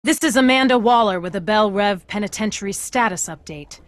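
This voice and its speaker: A woman's voice: medium pitch, fairly quick, no echo, close to an everyday voice. A woman playing a stern middle-aged government commander in a video game, speaking with authority and dry sarcasm. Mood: brisk, official. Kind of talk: scripted video game acting.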